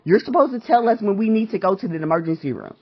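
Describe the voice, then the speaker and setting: Husky woman arguing on a phone call, demanding answers, repeats herself, kinda muffled audio. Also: medium pitch, lively intonation, fast. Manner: insistent, demanding, repeats questions. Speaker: patient calling a medical provider. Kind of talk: confrontational phone conversation.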